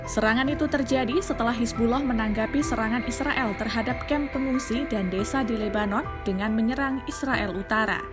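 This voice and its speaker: Woman, higher-pitched voice, Indonesian accent, formal news narration, measured delivery, clear music bed underneath. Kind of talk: scripted news explainer narration. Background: background music.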